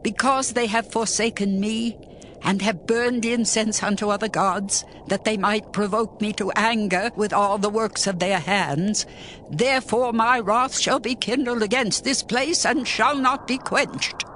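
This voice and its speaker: A woman's voice: medium pitch, fairly bright tone, slight background noise, natural voice. A woman playing a stern prophetess in a dramatized scripture reading, solemnly declaiming divine judgment. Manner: deliberate, oracular, declamatory.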